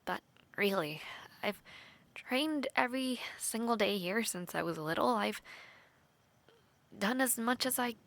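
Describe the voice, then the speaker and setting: An earnest young knight in training, played by a woman, speaking close and soft, often slipping into a half-whisper between light laughs. Her tone is bright and clear on top, with a gravelly fry under it. The sound is dry and near, with no room around it. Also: fairly high pitch, animated, measured pace, long pauses, no room sound, heavily acted. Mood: wistful. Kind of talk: intimate audio roleplay.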